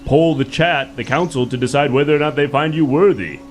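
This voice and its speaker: A man's voice: fairly high pitch, a little fast, soft background music. A man hosting a live improv comedy game show in a mock-grand announcer manner, often laughing through his lines.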